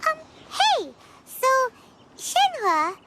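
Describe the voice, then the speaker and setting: A woman voicing a small, childlike, chirpy fairy companion in a video game, excitable and talking about herself in the third person. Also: extremely high pitch, drawn-out words, heavy background noise, exaggerated voice. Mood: sheepish, curious.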